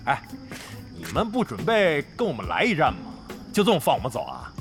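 A man's voice: a little high, huge pitch swings, fairly quick, over music. An actor playing a brash, crafty middle-aged veteran gamer in a Chinese audio drama, cocky and slangy, grumbling and swearing as he banters. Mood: cocky, teasing.

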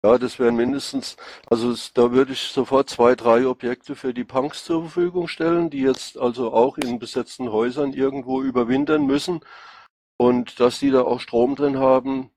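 A middle-aged man with a Rhine-Hessian accent, part Hessian and part Palatine, speaking informally and haltingly as a political candidate answering questions. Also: medium pitch, animated, creaky, darkish tone, dry recording. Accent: Rhine-Hessian, mixing Hessian and Palatine. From Rhine-Hesse, Germany. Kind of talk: informal spontaneous self-presentation. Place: online voice chat.

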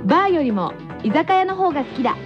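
A woman co-hosting a lively radio variety show, teasing and quick to laugh. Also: high-pitched. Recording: muffled radio recording over music.

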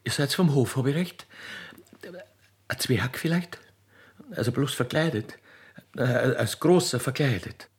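A man playing a naive, excitable young Austrian country girl in a radio play, stammering and repeating words in wonder. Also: mid-range voice, unhurried, long pauses, slightly rough. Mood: curious, awed.

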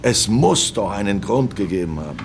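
Deep-voiced, brooding old seaman. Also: lively intonation, moderate pace, gravelly, noisy background. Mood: anguished brooding. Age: older. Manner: ruminative, reminiscing, repeats himself. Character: brooding old merchant seaman, loyal, grieving his captain. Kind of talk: radio play monologue, storytelling.

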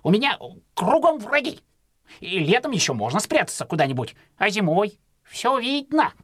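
A timid, chatty hare in an audio fairy tale. He frets and exclaims with very lively intonation. The recording is close and dry, with little room around the voice. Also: mid-range voice, moderate pace, dry recording. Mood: fretful. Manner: fretful, exclaiming.

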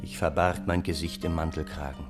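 An actor playing an earnest, indignant gentleman of old Russia, narrating a radio play in a literary, aggrieved way. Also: a bass voice, flat delivery, fairly quick, over loud music. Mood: earnest, troubled.

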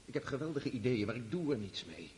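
An actor in a Dutch radio drama playing a brooding, earnest young Russian count who reproaches himself. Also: male voice, mid-range voice, sing-song, fast talker, darkish tone, lightly acted. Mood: anguished. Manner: earnest, self-accusing. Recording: hissy old radio play recording.

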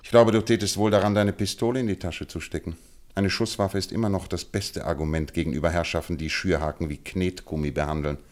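An actor playing a brisk, shrewd, commanding detective in a radio play, speaking precisely and with authority. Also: male voice, deep voice, fairly even intonation, lightly acted. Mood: brisk, decisive. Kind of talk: German radio play acting.

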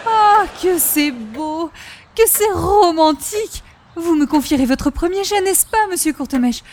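An extremely high-pitched, romantic middle-aged busybody, effusive and theatrical. She gushes in prim, flowery phrases in the manner of old radio comedy. There is faint noise behind the voice. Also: lively intonation, a little slow, darkish tone. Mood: gushing. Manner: gushing, dramatic, prim, flowery.

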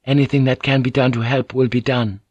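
A man's voice: mid-range voice, level tone, a little fast, a little mellow, no echo, highs cut off, voice pushed up, close to an everyday voice. A man reading an audiobook, voicing a polite, anxious young Japanese noblewoman who serves as interpreter. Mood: earnest, apologetic. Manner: polite, soothing, earnest. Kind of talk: audiobook character voice.

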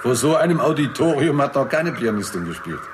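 Man acting as wry researcher, medium pitch, very lively intonation, affable, conversational, radio drama. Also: brisk, noisy background, natural voice. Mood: amused, encouraging. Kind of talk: 1960s German radio play acting.

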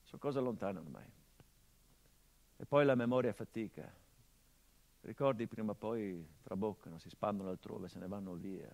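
An actor playing a middle-aged son who mourns his murdered father, in a theatrical monologue that swings from hushed murmurs to impassioned outbursts, sardonic and biting. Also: lowish voice, normal speed, gravelly, long pauses. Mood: bitter. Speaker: stage actor.

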